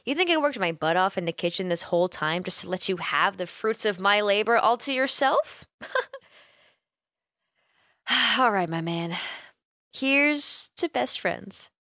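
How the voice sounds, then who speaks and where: A woman playing a caring, playful young female roommate who gently coaxes and teases her friend in an intimate ASMR roleplay. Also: mid-range voice, expressive, measured pace, pauses often, dry recording, studio quality. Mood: playful, teasing. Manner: playful, gently coaxing, giggly, hesitant. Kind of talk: ASMR roleplay, intimate one-sided conversation.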